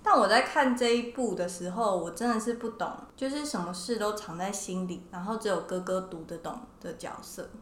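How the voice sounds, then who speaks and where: A woman's voice: mid-range voice, fairly quick, few pauses. A woman co-hosting a casual podcast conversation about fiction. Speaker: podcast co-host. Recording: roomy echo, faint background noise.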